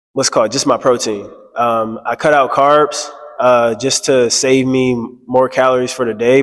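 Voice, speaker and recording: A man getting over a cold, with a stuffy voice, talking candidly in a rambling casual vlog full of fillers and restarts. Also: medium pitch. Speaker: vlogger. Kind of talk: casual personal vlog monologue. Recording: long echoey reverb.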